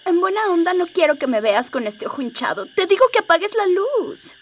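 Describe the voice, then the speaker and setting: A woman's voice: very high voice, sing-song, brisk, recorded from a distance, faint music behind. A woman playing a coquettish, pouting young space princess in a radio drama. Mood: startled, bossy.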